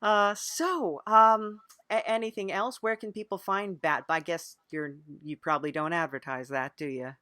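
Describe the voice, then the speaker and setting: A woman's voice: lowish voice, steady tone, moderate pace, few pauses, sharp tone. A woman hosting a podcast, chatting casually and playfully with a mock-earnest manner. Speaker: podcast host. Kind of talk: casual improvised interview and show chat.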